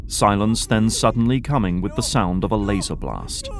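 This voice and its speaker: A man's voice: on the low side, animated, moderate pace, background noise. A man reading scripted narration for an audio drama.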